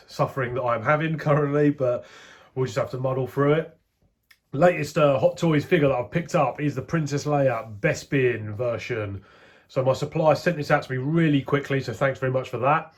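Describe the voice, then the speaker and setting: A man's voice: mid-range voice, moderate pace. A man with a head cold, chatting his way through a toy unboxing review and talking straight to his viewers. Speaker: toy collector vlogger.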